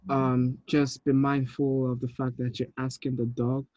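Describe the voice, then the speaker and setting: A man's voice: medium pitch, little pitch movement, a little slow, a little mellow, dry recording. A man in his thirties directing a script read in a casual way over a video call. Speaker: director reading a screenplay at a table read. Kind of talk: casual script reading and notes. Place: indoor room on a video call. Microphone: video call with earpiece. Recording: dull video-call audio.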